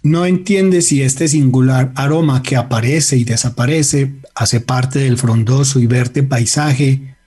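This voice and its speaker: Un hombre narra una radionovela con guion, de manera medida, literaria y dramática. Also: male voice, mid-range voice, darkish tone, breathy edge. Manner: measured, literary, dramatic. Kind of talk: scripted radio drama narration.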